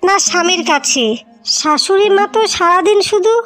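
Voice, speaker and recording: A woman's voice: very high voice, normal speed, a bit bright. A woman voicing a jealous, resentful elder daughter-in-law in a cartoon, complaining with sarcasm and spite. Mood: bitter, resentful. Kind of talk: Bengali moral-story cartoon acting. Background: background music.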